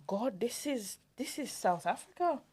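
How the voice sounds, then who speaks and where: A woman's voice: a little high, animated, measured pace, warm tone, breathy edge. A young adult woman, a podcast co-host, telling a reflective story in casual chat and acting out the voices she remembers.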